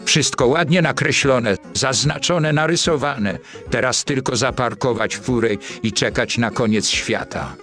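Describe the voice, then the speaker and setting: A man plays a crude, sceptical cartoon sidekick handyman. His voice is mid-pitched, dry and grumbling, resigned to whatever comes, with faint music underneath. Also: a little slow, hardly any pauses, a bit bright, lightly acted. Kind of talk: dubbed comic cartoon dialogue in Polish.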